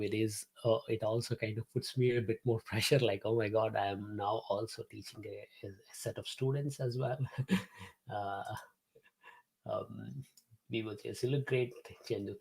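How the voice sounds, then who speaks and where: A man's voice: lowish voice, darkish tone, slightly rough, poor audio. A man, hosting a live-streamed class from his home office, chatting to viewers with many fillers and restarts. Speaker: host and instructor.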